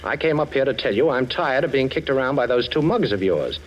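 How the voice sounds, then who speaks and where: An American actor playing a cocky, wisecracking ex-thief turned detective in a 1940s radio drama. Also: male voice, mid-range voice, expressive, brisk, lo-fi sound, close to an everyday voice. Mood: wisecracking, insistent. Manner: wisecracking, breezy, self-assured. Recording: old radio recording, faint noise.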